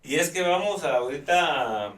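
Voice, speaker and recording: A man's voice: a little high, lively intonation, moderate pace, fairly bright tone, slight background noise. A man hosting a live talk show, chatting with many fillers and restarts. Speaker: host. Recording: roomy, distant mic.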